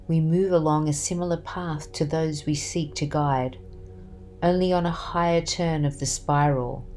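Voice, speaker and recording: A woman reading a book excerpt as scripted literary narration, with solemn, measured, deliberate phrasing. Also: sits low, a little mellow.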